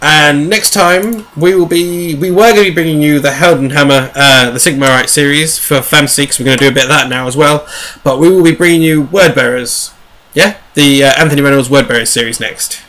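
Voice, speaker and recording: A man hosting a book review show in casual, animated, rambling chat with a jokey edge. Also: fairly high pitch, a little fast.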